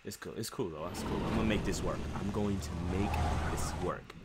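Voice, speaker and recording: A young American man with a deep voice chats casually through a video game. He rambles in slang, thinking out loud, over clear background noise and car game sounds. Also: brisk. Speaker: gaming streamer. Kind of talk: casual let's play commentary. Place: room at home. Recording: clearly noisy home webcam stream.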